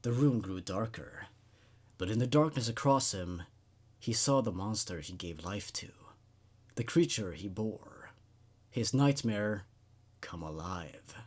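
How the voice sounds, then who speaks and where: A man's voice: on the low side, animated, slow, long pauses, darkish tone. A man reading a horror story aloud as host, in a dramatic narrating style.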